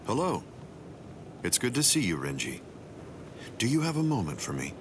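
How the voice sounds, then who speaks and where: An actor playing a composed, courteous captain in an anime game, speaking in a measured, polite and probing way. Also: male voice, fairly low pitch, wildly swooping pitch, background noise. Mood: friendly, polite. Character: composed, courteous, mild-mannered male captain. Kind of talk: English-dubbed anime game dialogue.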